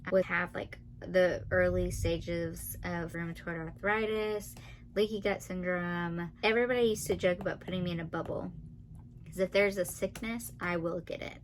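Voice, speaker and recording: A woman in her twenties with a nasal, monotone voice, chatting fast and dryly as a vlogger counting off facts about herself. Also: on the low side. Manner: talks fast and a lot, dry and sarcastic. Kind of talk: casual talking-to-camera list video.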